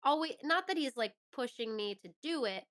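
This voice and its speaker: A woman with a bright, cleanly resonant voice talks as a guest in a relaxed interview. She sounds thoughtful and self-reflective as she explains herself in a conversational way. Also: high-pitched, normal speed. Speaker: interview guest, musician and actor.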